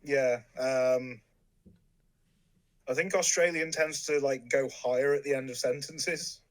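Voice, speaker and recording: Relaxed young Englishman with an English accent. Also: mid-range voice, measured pace, low-quality recording. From England. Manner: relaxed, lots of ums, dry humor. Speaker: podcast guest. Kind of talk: casual chat.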